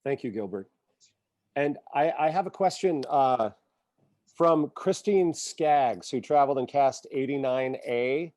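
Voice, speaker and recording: Mellow man reading audience questions. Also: medium pitch, unhurried, dry recording, studio quality. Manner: hesitant, reads submitted comments aloud. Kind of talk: moderating a panel discussion.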